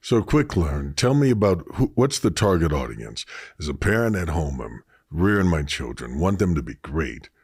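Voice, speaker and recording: A man's voice: extremely low pitch, big pitch swings, normal speed, hardly any pauses, darkish tone, husky, dry recording, studio quality. A middle-aged man hosting an interview, enthusiastic and asking long leading questions. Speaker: podcast host. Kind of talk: interview conversation.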